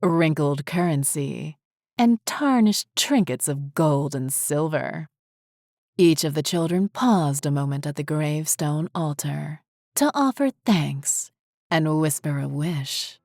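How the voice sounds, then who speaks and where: A woman's voice: deep voice, animated, takes it slowly, darkish tone, no room sound, clean studio sound. A woman reading a scripted horror story as its narrator, with music behind her. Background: faint music.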